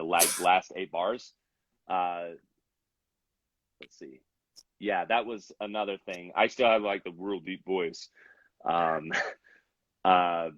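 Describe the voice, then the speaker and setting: A man's voice: sits low, a bit bright, no room sound, highs cut off. A young man in his twenties, a rapper from Salt Lake City, chatting casually as an interview guest with lots of fillers and restarts. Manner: rambling, many fillers and restarts.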